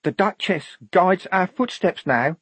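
A fervent, pious young girl recruit performed by a male narrator in a storybook. Her voice is raised high, a little husky. She speaks with solemn, otherworldly certainty. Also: animated, measured pace, a bit bright, no echo, heavily acted.

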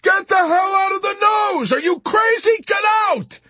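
A man's voice: very high-pitched, animated, normal speed, bright, forceful tone, dry recording, shouting, voice pushed up, heavily acted. A man voicing a hysterical, terrified airman in an audiobook, shrieking and beseeching in frantic frustration. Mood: screaming panic. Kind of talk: audiobook character acting.

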